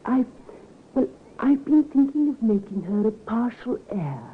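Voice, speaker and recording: An actress playing a frail, earnest middle-aged daughter in an old-time radio drama, gentle but insistent. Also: female voice, fairly high pitch, animated, a little slow. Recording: noisy, narrow-band vintage radio recording.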